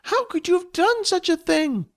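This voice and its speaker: A man voicing a small, frightened female goblin who pleads and wails in a high voice. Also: expressive, normal speed, a bit bright, heavily acted. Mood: distraught pleading.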